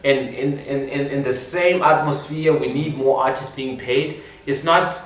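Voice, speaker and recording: A man's voice: mid-range voice, fairly even intonation, measured pace. A man, a musician guest from Zimbabwe, chatting animatedly in an interview, repeating words and laughing often. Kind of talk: casual interview chat. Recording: echoey room, distant mic.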